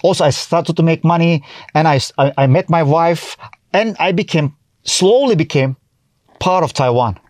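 A man's voice: fairly high pitch, moderate pace, a little mellow, dry recording. A middle-aged man from Turkey with a Turkish accent, chatting as an interview guest and telling stories with lots of restarts and acted-out remarks. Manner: chatty, restarts often, acts out his own past remarks. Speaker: guest, TV host and comedian. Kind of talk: casual interview chat.

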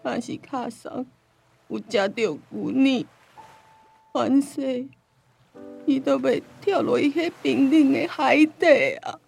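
Frail, creaky, high-voiced old grandmother. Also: takes it slowly, long pauses, a little mellow, faint music behind, full character voice. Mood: plaintive, remembering. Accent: Taiwanese. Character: frail senile grandmother lost in childhood memories. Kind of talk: radio drama acting.

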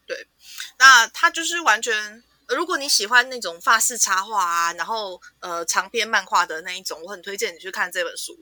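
A woman with a Taiwanese Mandarin accent co-hosting a casual book chat, conversational and agreeing in quick repeated words. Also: mid-range voice, bright, forceful tone, no room sound. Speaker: podcast co-host.

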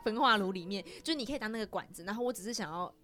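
A woman with a Taiwanese accent hosting a casual chat, telling things in an animated way and acting out little remarks. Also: medium pitch, very fast, over music. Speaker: podcast host.

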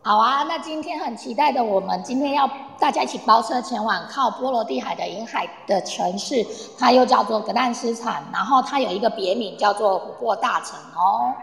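A woman's voice: fairly high pitch, fairly quick, creaky, hardly any pauses. A woman playing a cheerful, eager tour guide in an amateur radio play, chatting to her group as she explains. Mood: cheerful, explanatory. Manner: chatty, addresses group, uses soft sentence-final particles. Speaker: tour guide in an audio drama. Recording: echoey, long reverb, online audio-room recording.

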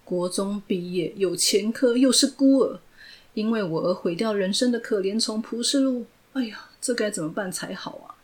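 A woman voicing a cruel, mocking male villain who taunts slowly. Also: medium pitch, a little mellow, lightly acted, rough recording. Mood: taunting. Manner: slow, taunting, gloating delivery.

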